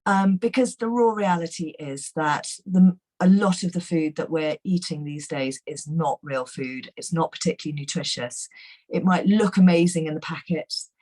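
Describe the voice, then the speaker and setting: Hoarse, low-voiced woman. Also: moderate pace, darkish tone. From England. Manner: enthusiastic, chatty, frequent fillers. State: croaky, hoarse voice. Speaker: guest nutrition expert. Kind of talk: webinar talk.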